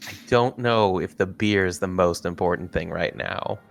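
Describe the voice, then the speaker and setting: Gravelly low-voiced man in casual game chat. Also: moderate pace. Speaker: tabletop game player.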